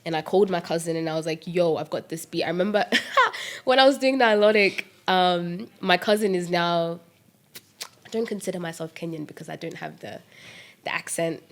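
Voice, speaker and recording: A young woman musician chatting in an interview about making her music, lively and laughing, with lots of "like" and "um". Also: fairly low pitch, normal speed. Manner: animated, laughing, fillers like "like" and "um". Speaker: musician and producer guest. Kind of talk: casual interview chat.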